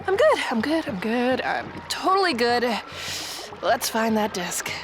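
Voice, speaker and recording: Sardonic stubborn heroine, slightly high voice, unhurried, dry muttering, radio drama, music behind. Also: female voice, very animated. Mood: groggy, irritated. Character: Ella, stubborn, sardonic, weary adventuress.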